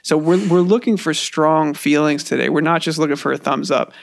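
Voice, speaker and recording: A man's voice: on the high side, a little fast, gravelly, no room sound, clean studio sound. A man co-hosting a casual music podcast, wry and joking. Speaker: podcast co-host. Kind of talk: casual chat.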